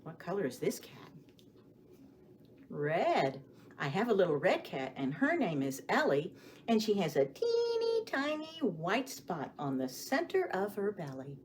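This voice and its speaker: Woman, children's storytime reader, slow pace, very varied pitch, expressive, questioning the kids, background noise. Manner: addresses children directly with questions and pauses for answers; sing-song, expressive delivery. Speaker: children's librarian hosting storytime. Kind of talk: storytime read-aloud and rhymes for young children. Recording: clearly audible background noise.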